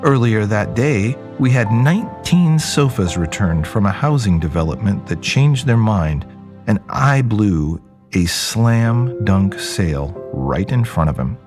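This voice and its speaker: A low male voice plays a jaded, rebellious young man in an audio drama. He narrates in first person with lively swings of pitch and wry, conversational asides. Faint music plays behind him. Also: measured pace. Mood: wry, rambling.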